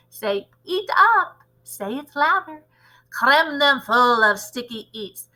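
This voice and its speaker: A woman's voice: on the high side, low-quality recording. A woman acting out a disgusting old witch leader from a children's storybook, gleefully cruel, with theatrical, chanting delivery and broken grammar. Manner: ungrammatical, chanting, theatrical shouting.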